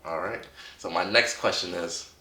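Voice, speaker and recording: A man's voice: deep voice, moderate pace, pushed and bright, echoey room. A young man asking his mother questions in a casual family chat, circling around his points with lots of "like". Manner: rambling, filler-heavy questions.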